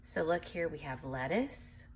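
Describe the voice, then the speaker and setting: A woman reading a picture book aloud to children in an animated way, pausing to explain things patiently in simple words. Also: low-pitched, slight background noise. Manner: animated, acts out animal sounds, addresses young listeners. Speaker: read-aloud storyteller for children.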